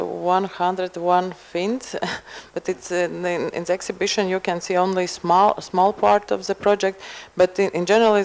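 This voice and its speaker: Woman, Latvian accent, low flat voice, gravelly, halting moderator. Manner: halting, many fillers and restarts. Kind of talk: panel moderation.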